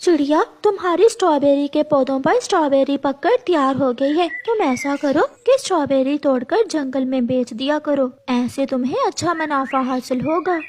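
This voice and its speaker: A woman's voice: high voice, moderate pace, few pauses, darkish tone, slight background noise, lightly acted. A woman playing a helpful, practical female parrot neighbour in a children's cartoon story. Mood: encouraging. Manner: practical, advising.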